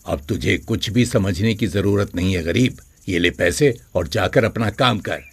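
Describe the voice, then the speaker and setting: A man's voice: deep voice, measured pace, slightly rough, no room sound, heavily acted. An actor playing a haughty, scornful rich father who scolds with contempt in a story. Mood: dismissive.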